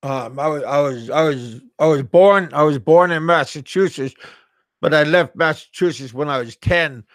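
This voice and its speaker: A man from Alaska hosting a casual livestream, chatting with viewers in a halting way and often repeating and restarting his words. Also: fairly high pitch, no room sound. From Alaska, USA. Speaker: livestream host. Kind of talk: casual live-chat talk reading viewer comments.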